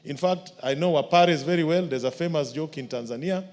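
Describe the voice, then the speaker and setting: Measured MP in a chamber. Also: male voice, a little high, a little mellow. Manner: measured, formal, solution-focused. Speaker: member of parliament. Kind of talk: parliamentary floor speech. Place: parliament chamber. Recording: long reverb of a large chamber.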